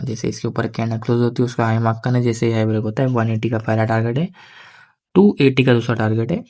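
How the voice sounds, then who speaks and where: A man walks viewers through his next-day trading plan in a casual, conversational way, often adding "theek hai". He has a sore throat and speaks slowly because of it. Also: medium pitch, a little mellow. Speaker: stock market analyst.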